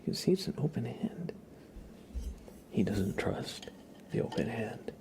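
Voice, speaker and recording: Softly whispering, creaky-voiced ASMR man. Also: very animated. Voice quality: breathy whisper mixed with soft low murmur. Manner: gentle, repetitive, unhurried murmuring. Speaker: ASMR livestream host. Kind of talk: ASMR, soft whispered livestream chat.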